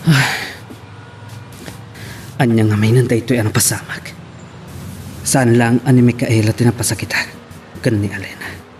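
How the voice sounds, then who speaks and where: A man's voice: mid-range voice, steady tone, moderate pace, long pauses, faint music behind, natural voice. A man with an Ilocano accent acting a sorrowful young father who misses his child in a love drama.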